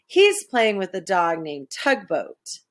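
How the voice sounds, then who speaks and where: A woman reading a children's story aloud in an expressive, dramatic way. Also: mid-range voice, slow pace. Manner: animated, dramatic, with hushed asides. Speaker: storybook reader.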